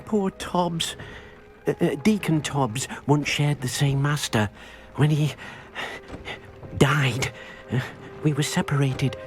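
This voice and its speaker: An actor playing a timid, kindly house-elf servant in a video game, hesitant and deferential, speaking of himself in the third person. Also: male voice, fairly high pitch. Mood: sorrowful. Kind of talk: video game character dialogue. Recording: music behind the voice.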